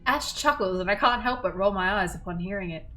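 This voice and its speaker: A woman streamer reading a game's story aloud in an expressive storytelling manner. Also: medium pitch, fairly quick, faint music behind, low-quality recording.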